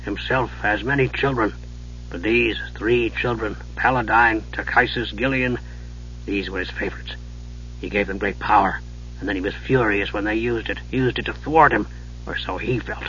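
A man voicing a gruff, weary old male dwarf, speaking low and hushed, in a dull lo-fi recording. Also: normal speed, slight background noise. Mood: weary, brooding.

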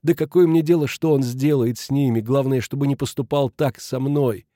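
A man's voice: medium pitch, fairly even intonation, moderate pace, mellow, no room sound, studio quality, natural voice. A man voicing a desperate, abjectly devoted woman in love, pleading emotionally in an audiobook.

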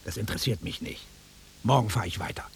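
An actor playing a bored, blunt middle-aged travelling salesman with a raspy voice in a naturalistic radio drama. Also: on the low side, fast talker. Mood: impatient, annoyed. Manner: offhand, dismissive, grumbling.

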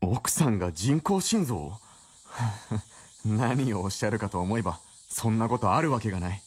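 A man's voice: on the low side, expressive, a little slow, breathy edge. A man playing an earnest, straitlaced detective novelist in a period radio drama, polite but easily flustered and incredulous. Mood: incredulous, agitated.

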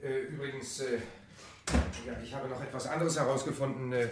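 A man's voice: medium pitch, fairly even intonation, a little fast, background noise, close to an everyday voice. A man acting as an eager, deferential and chatty police sergeant reporting in a radio crime play. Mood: animated, reporting.

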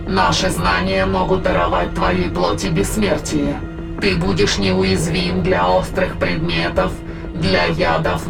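A creaking, gravel-throated spirit from another world lays out its ambitions in an audio drama. It is formal and measured, grandiose and coldly persuasive. Music plays clearly underneath. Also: medium pitch. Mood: coldly tempting. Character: megalomaniac otherworldly spirit plotting to conquer humanity. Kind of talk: audio drama acting, long villainous monologue. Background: dramatic background music.

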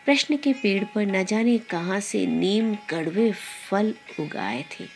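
A woman narrates like a podcast storyteller, taking her time over each phrase. Her pitch sits in a middle range for a woman, and her delivery is measured and deliberate. Soft music plays behind her. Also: animated, creaky. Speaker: podcast host and story reader. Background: background music.

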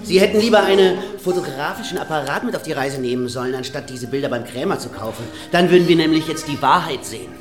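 An actor playing an earnest, pedantic amateur photographer in a period radio drama, formal and deferential yet stubbornly insistent. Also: male voice, on the high side, expressive, quick pace, hardly any pauses, lightly acted. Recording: roomy studio drama with music behind.

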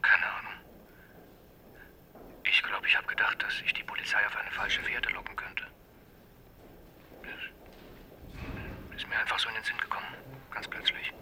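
Low-voiced broken murder suspect. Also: male voice, little pitch movement, pushed and bright, whispered, low-quality recording. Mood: shaken, hushed. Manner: halting, subdued, confessional. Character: male murder suspect confessing, lovesick and broken. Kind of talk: crime radio drama acting.

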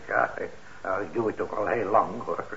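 An actor in a Dutch radio play playing a kindly, weary older instrument repairer, mild and hesitant, with a gravelly voice. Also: male voice, lowish voice, a little slow, a bit bright. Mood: mild, busy. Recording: muffled, noisy old radio recording.

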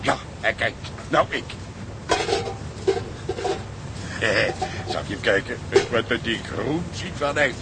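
An actor playing a boisterous, mischievous sailor in a radio drama. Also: male voice, medium pitch, animated, normal speed, very noisy, lightly acted. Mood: gleeful. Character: boisterous, mischievous sailor who loves a prank.

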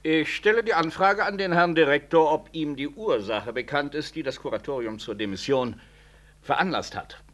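An actor playing a hostile professor in a German radio play, pointed and challenging. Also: male voice, a little high, moderate pace, fairly bright tone, close to an everyday voice. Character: hostile, needling male professor challenging the director.

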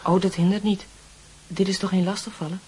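A woman's voice: medium pitch, little pitch movement, a little fast, darkish tone, a little hiss or hum, natural voice, low-quality recording. An actress playing a reserved young schoolgirl in a radio play, giving brief replies. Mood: shy, reserved. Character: reserved young schoolgirl meeting an old admirer. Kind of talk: radio play acting in Dutch.

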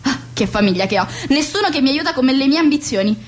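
Radio play, woman as middle-aged hostess and mother: high voice, grandiose, fussy, easily exasperated, melodramatic. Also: animated, quick pace, slight background noise. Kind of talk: radio play acting of a 1920s stage comedy.

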